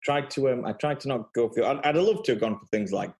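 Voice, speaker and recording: A man's voice: medium pitch, animated, quick pace, darkish tone. A man chatting casually as a panel guest, earnest and emphatic about his opinions. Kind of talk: casual chat.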